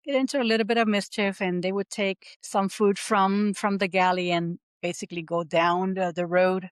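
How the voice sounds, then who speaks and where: A woman speaks as an interview guest. She tells her story earnestly, stopping often on small fillers, at a medium pitch. The sound is dry and close, with no room around it. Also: steady tone, no echo. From Puerto Rico. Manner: earnest storytelling with frequent "um" pauses. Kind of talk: podcast interview.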